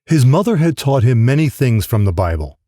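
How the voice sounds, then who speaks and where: A male audiobook narrator reads grim fiction in a dark, mellow voice of middling pitch. His intonation is lively and varied across the scripted prose. The recording is dry, with no room sound. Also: brisk, dry recording.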